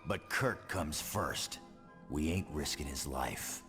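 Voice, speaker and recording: A gruff superhero brawler from a video game. He is rock-bottom low and gravelly, talking slowly and curtly over background music. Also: long reverb tail, full character voice. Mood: surly. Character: gruff, tough, surly male superhero brawler.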